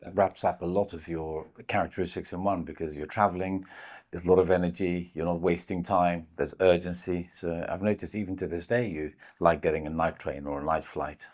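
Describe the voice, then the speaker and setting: Deep-voiced male interviewer, probing. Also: steady tone, brisk, a little mellow. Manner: probing, sums up the guest's traits in loose run-on sentences. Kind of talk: conversational interview.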